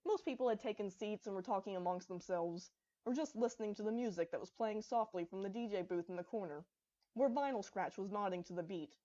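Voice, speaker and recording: A woman's voice: mid-range voice, brisk, dark tone, highs cut off. A woman reading a fan fiction story aloud as scripted narration.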